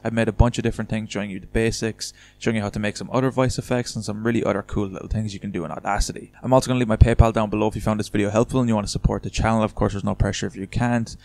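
Male tutorial host, lowish voice, quick informal delivery, chatty step-by-step explaining into a desktop mic. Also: fairly even intonation, vocal fry, a little mellow. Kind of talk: casual screen-recorded software tutorial.

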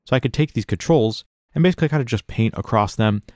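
A man narrating a casual step-by-step software tutorial in an informal, explanatory way. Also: mid-range voice, fast, no echo, clean studio sound.

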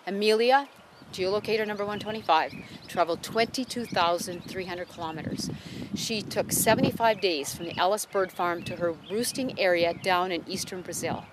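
A woman biologist explaining birds and research outdoors, in a clear, patient way. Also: medium pitch, normal speed, background noise. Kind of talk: explaining on camera. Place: outdoors on a farm.

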